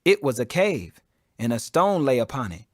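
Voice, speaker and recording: A man's voice: medium pitch, very animated, moderate pace, a little mellow, no echo, clean studio sound. A man giving a solemn, emphatic, dramatized audiobook reading of the Bible. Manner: solemn, emphatic, raises voice for quoted speech. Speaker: scripture reader.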